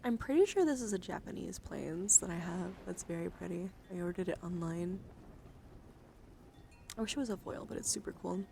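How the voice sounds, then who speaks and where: Female ASMR streamer, soft and soothing, drifting into whispers, close-miked with clear background noise. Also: fairly low pitch, expressive, normal speed. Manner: soft-spoken, often dropping into whispers; gentle, soothing, encouraging. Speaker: livestream host showing trading cards. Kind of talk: ASMR, soft casual chat.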